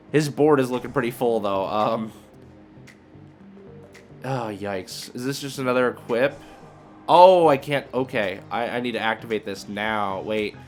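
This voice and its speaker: A man giving casual gameplay commentary, thinking aloud in a hesitant, muttering way as he reasons through his moves. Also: mid-range voice. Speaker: video creator and card game player.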